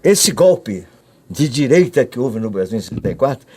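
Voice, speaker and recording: A man's voice: mid-range voice, big pitch swings, moderate pace, a little mellow, breathy edge, soft background music. An older Brazilian man giving conversational testimony of his own past, emphatic, often repeating and restarting his words.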